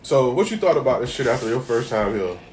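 A man's voice: mid-range voice, very animated, fast, darkish tone, slight background noise. A man chatting casually as a show host, blunt and teasing, with heavy swearing and slang. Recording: roomy, far from mic, people chattering behind.

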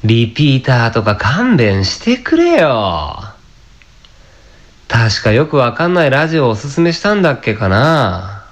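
A man's voice: medium pitch, big pitch swings, measured pace. A man playing a cynical, lazy part-time fake fortune teller in a radio drama, grumbling in casual, blunt speech. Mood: annoyed. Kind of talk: amateur radio drama acting and narration.

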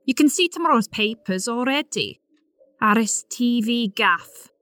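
A woman a weary political staffer in a comedy drama. Her fairly high voice is dryly exasperated, with no room sound around it. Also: animated, a little slow, fairly bright tone, dry recording. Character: weary, dry-witted female political staffer, exasperated by colleagues. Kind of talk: comedy audio drama dialogue.

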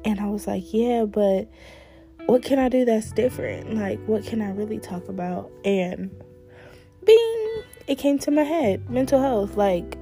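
Host: young woman, a bit hoarse, mellow tone, loose chatty delivery over soft guitar music. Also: mid-range voice, expressive, measured pace. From United States. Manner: chatty, candid, lots of fillers. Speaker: podcast host. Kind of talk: casual solo talk.

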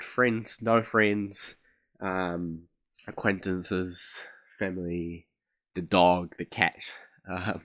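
A young man from Chile talks through a solo podcast episode, hesitant and self-deprecating, with an accent that mixes American and Australian. His voice sits very low but sounds bright and forceful. The sound is dry and dull, like a lo-fi home setup. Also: no echo. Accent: blend of American and Australian. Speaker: podcast host. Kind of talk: casual solo podcast monologue.

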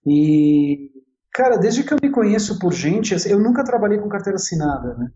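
A man's voice: high-pitched, very animated, fairly quick, dark tone. A man in his forties with a São Paulo Brazilian accent, telling his own story casually and talkatively, often repeating and rephrasing himself. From Italy and São Paulo, Brazil. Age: 40s. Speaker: interview guest, entrepreneur. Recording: roomy, slightly dull sound.

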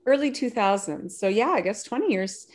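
A woman in casual conversation, playful and quick to laugh, from Canada. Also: mid-range voice, animated.

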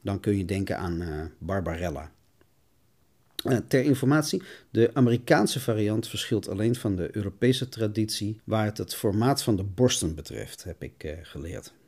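A man's voice: fairly low pitch, a little mellow, husky. A man narrating an essayistic podcast as its host.

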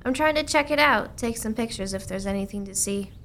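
A woman's voice: on the high side, a little fast, fairly bright tone, slight background noise. A woman playing a chatty American local reporter in a radio play, folksy and conversational. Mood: friendly, curious. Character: chatty local reporter, mother of two, skeptical but sympathetic.

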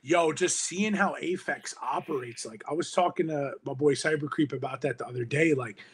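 Slangy New man, husky voice. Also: on the high side, moderate pace. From Hudson County, New Jersey. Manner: slangy, animated, heavy swearing and "yo". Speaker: musician guest. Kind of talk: casual podcast chat.

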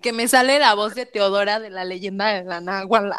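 A woman with a Mexican accent, co-hosting a casual chat about childhood cartoons, lively and quick to laugh. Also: medium pitch, clean tone. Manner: lively, laughs, slips into impressions. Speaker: podcast co-host.

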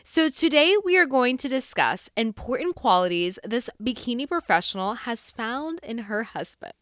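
High-voiced female podcast host, dry close sound. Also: sing-song, normal speed, dry recording. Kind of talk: podcast introduction and interview.

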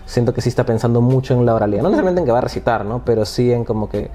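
A man's voice: mid-range voice, animated, very fast, warm tone. A man hosting a casual talk about poetry, speaking hesitantly with frequent restarts and tag questions. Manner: hesitant, many restarts and "¿no?" tags. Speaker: host.

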